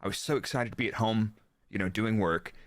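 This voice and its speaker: A man's voice: lowish voice, fairly quick, dry recording, studio quality. An American man giving a casual technical explanation as a guest expert, acting out bits of dialogue as he goes. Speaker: guest, former Formula 1 performance engineer.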